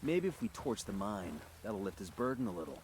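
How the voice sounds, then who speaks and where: Cool, sardonic squad soldier: fairly deep, understated game lines over noisy ambience. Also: male voice, sing-song, a little fast, darkish tone. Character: cool, sardonic male special forces soldier. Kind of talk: video game squad dialogue.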